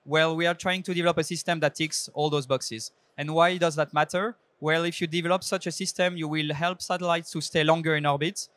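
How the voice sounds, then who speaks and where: A man, a startup CEO giving an earnest pitch on a trade show stage into a handheld mic, with fillers and restarts. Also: fairly even intonation, a little fast, few pauses, a bit bright, no echo. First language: French. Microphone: handheld microphone.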